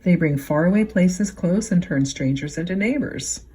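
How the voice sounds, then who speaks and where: A woman reading a picture book aloud as a children's librarian, phrasing it expressively and deliberately for young listeners. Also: fairly low pitch, mellow, breathy edge.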